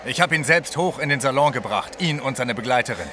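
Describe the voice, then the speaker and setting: An actor playing a stern, suspicious palace guard in an audio drama, brusque and disbelieving. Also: male voice, medium pitch, expressive, fairly quick, natural voice. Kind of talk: German audio drama acting. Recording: noisy outdoor street ambience.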